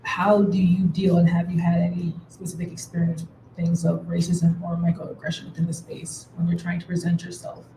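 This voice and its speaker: A woman moderating a panel, asking a long question in a measured way. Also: fairly low pitch, completely flat delivery, dark tone. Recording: echoey room with background noise.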